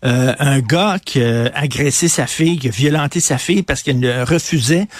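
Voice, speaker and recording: A man's voice: mid-range voice, normal speed, no echo. A Québécois man hosting a radio talk show, giving emphatic opinionated commentary, hammering points with repetition, frequent fillers and restarts. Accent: Québécois French.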